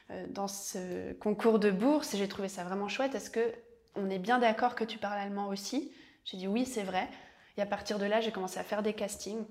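Young actress, chatty and reflective. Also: female voice, medium pitch. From French-speaking Switzerland. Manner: reflective and chatty, with frequent restarts and laughs. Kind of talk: interview answers.

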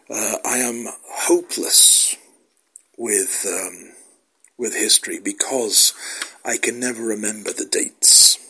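A man with a hoarse voice from a cold, hosting a casual, meandering monologue full of fillers and restarts. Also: medium pitch, pushed and bright. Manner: meandering, self-deprecating, many fillers and restarts. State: hoarse, losing his voice with a cold. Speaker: podcast host.

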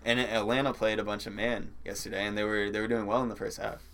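A young man chatting casually on a podcast, soft-spoken and patient as he explains, laughing often. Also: fairly low pitch, level tone, fast talker, slight background noise. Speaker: comedian guest.

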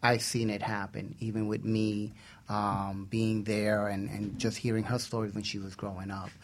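A man's voice: fairly low pitch, little pitch movement, normal speed, slight background noise. A middle-aged man hosting a talk show with casual questions and joking asides. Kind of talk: casual interviewing banter.

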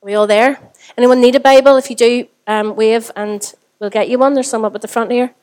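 A woman pastor with a Northern Irish accent, preaching a sermon to her congregation in a chatty way, hoarse from a cold. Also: a little high, fairly even intonation, fairly quick, a little mellow, poor audio. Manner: chatty, asks the congregation rhetorical questions. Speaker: lead pastor. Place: church service.

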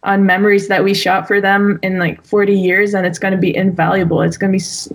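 A woman's voice: mid-range voice, level tone, fairly quick. A young woman guest talking casually about her work as a wedding photographer, with lots of fillers and restarts. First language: Dutch. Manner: talkative, full of fillers and restarts. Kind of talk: casual interview conversation.

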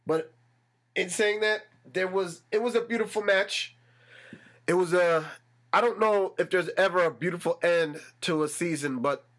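Male podcast host, stuffy nasal voice, a touch higher-pitched, talks himself up into excitement. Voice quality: slightly nasal. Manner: animated, builds excitement with repetition. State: congested. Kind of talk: solo sports podcast talk. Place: room with a fan running.

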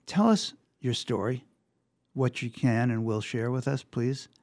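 A man interviewing a guest, asking gentle, careful questions. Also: medium pitch, lively intonation, measured pace, no room sound, clean studio sound.

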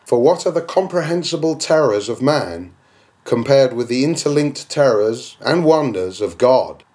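A man's voice: mid-range voice, animated, moderate pace, mellow. A man reading a classic novel aloud as an audiobook, with rhetorical, declamatory phrasing. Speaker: audiobook reader. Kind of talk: literary audiobook narration.

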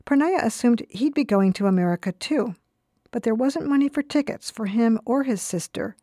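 Soft mellow female radio narrator. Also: a little high, animated, moderate pace, no room sound, studio quality. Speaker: narrator and presenter. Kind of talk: scripted radio documentary narration.

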